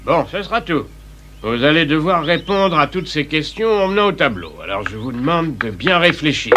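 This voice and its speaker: A man's voice: fairly high pitch, very animated, normal speed, background noise. A man voicing a stern, exasperated schoolteacher in a French cartoon, scolding with theatrical authority. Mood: strict. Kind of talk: French cartoon dub acting.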